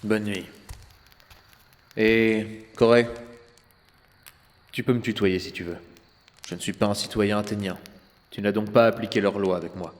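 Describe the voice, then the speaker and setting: Actor for a cocky male treasure hunter, audio drama; deeper register, wry and wary, long hall reverb. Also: steady tone, measured pace, long pauses, close to an everyday voice. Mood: gentle. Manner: wry, teasing, sarcastic. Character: roguish adventurer, wary, cocky, teasing scarred treasure hunter. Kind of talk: French audio drama acting. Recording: reverberant hall, faint background noise.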